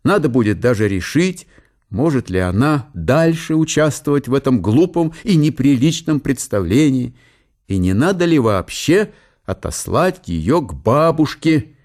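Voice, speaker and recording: A man's voice: medium pitch, very animated, a little slow, a little mellow. A man reading literary narration as the narrator of a radio drama.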